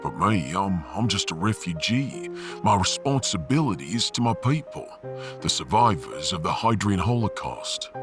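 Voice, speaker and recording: A man acts a big, rough-hewn miner in a sci-fi audio drama. The voice is very deep, earnest and halting, with music playing behind it. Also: big pitch swings. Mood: remorseful, earnest. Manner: halting, earnest, plain-spoken. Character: big, remorseful, rough-hewn Australian refugee handyman, former miner. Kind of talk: sci-fi audiobook character acting.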